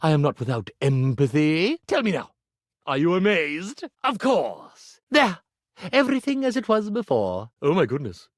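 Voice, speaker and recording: A flamboyant stage magician for a video game, with a highish, slightly husky male voice that leaps and dives dramatically. He is pompous and vain with an anxious streak, relishing every grand flourish. The recording is dry and close. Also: big pitch swings, moderate pace, no room sound. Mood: teasing, boastful. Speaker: magician and writer. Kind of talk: theatrical video game character acting.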